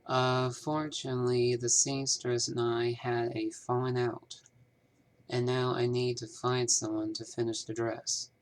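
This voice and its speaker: A man acts out a male bank manager from a small town, in video game dialogue. He talks slowly and with measured formality. He comes across as guarded and troubled, a little hesitant when he explains himself. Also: medium pitch, little pitch movement, lightly acted. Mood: confiding, troubled. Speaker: amateur voice actor. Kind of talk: scripted game character dialogue, fan performance.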